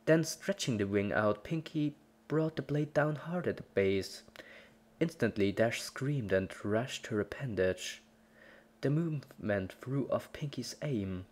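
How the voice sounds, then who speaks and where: A woman's voice: very deep voice, animated, measured pace, a little mellow. A woman narrating a scripted horror story as a dramatic reading.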